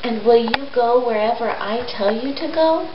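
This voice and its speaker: A gentle, patient shepherd guide played by a woman storyteller, calm and tender as he instructs. The voice is high for the part, on a dull recording with noise behind it. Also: normal speed, lightly acted. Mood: gently instructing. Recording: noisy, echoey, dull amateur recording.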